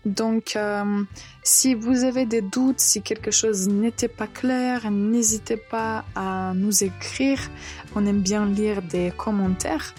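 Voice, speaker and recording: A woman from Serbia hosting a French lesson podcast, slowly and carefully modelling word pronunciations for learners. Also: mid-range voice, a little mellow, faint music behind. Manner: slow, careful pronunciation drills. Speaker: French teacher, podcast host.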